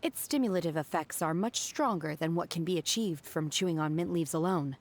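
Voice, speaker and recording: An actress voicing an aloof, earnest young woman in a video game, calm, formal and deadpan. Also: lowish voice, fairly quick, a little hiss or hum, lightly acted. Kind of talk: video game dub acting. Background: faint background music.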